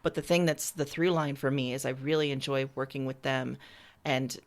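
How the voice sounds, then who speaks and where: A woman's voice: extremely low pitch, steady tone, moderate pace. A woman chatting casually as an interview guest, talking about her creative work in a candid, enthusiastic way. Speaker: writer and musician being interviewed.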